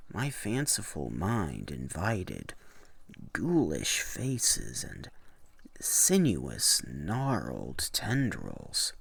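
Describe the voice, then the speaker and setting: A low-pitched man reads like a theatrical horror narrator. His delivery is slow and deliberate, with expressive rises and falls, in a close, dry sound. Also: creaky, slightly rough, no room sound. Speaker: narrator of horror story readings. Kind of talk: dramatic scripted narration.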